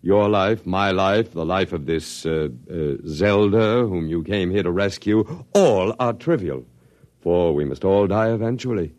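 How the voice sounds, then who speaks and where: An actor in a classic radio drama playing a smooth, zealous scientist who speaks in a measured, polite, condescending way. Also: male voice, low voice, a little mellow, no echo. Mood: rapt, zealous. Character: smooth, courteous, zealous male scientist running an eternal archive.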